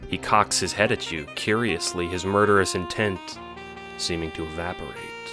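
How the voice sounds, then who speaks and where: Deep-voiced man narrating an audio drama. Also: normal speed, over music. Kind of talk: dramatic scripted second-person narration.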